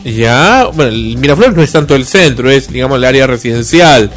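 A man's voice: medium pitch, animated, brisk, a bit bright, soft background music. A man hosting a radio talk show, chatty and joking, with many fillers. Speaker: radio show host and director. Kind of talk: casual radio chat.

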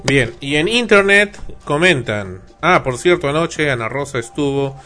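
A man's voice: on the high side, expressive, a little slow, sharp tone, soft background music. A man co-hosting a casual radio talk show, repeating himself and joking as he goes.

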